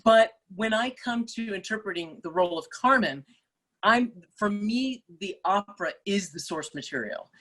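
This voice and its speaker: A middle-aged woman opera singer, speaking as a guest in a relaxed interview, thoughtful and full of small restarts and fillers. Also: medium pitch, normal speed, poor audio. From Malaysia. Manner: thoughtful, many restarts and fillers, laughs easily. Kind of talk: casual interview chat.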